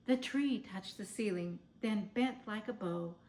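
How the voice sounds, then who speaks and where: A woman reading a children's story aloud in a theatrical, measured, expressive way. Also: mid-range voice. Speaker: storybook reader. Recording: roomy, echoing sound.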